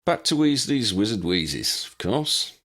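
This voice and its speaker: A man's voice: lowish voice, sing-song, a little slow, fairly bright tone, voice pushed up, heavily acted. A man voicing an easygoing, earnest young father who speaks plainly in an audiobook. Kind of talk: audiobook character reading.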